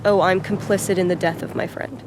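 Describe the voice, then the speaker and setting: A woman's voice: medium pitch, level tone, brisk, noisy background. A woman discussing a video game's story as a writer, in a conversational commentary.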